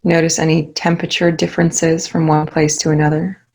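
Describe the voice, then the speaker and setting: A woman leading a guided meditation, giving calm, soothing instructions with many pauses. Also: low-pitched, vocal fry, rough recording.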